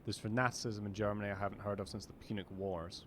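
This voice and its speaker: A man's voice: on the low side, level tone, quick pace, slight background noise, close to an everyday voice. A man playing a learned, skeptical ancient vampire advisor in an audio drama, formal, dry and probing. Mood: dry, disdainful.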